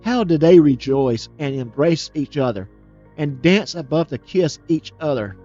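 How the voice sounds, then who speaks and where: Expressive male storybook reader. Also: a little high, a little slow, lo-fi sound, faint music behind. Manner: expressive, with small slips and restarts. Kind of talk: storybook reading.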